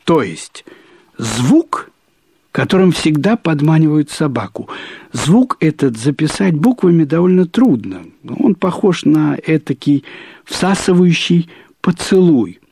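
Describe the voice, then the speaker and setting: A man narrating a first-person literary short story in a deliberate, wryly humorous manner, with measured pauses and repetitions. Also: a little high, big pitch swings, vocal fry, mellow, husky, no room sound.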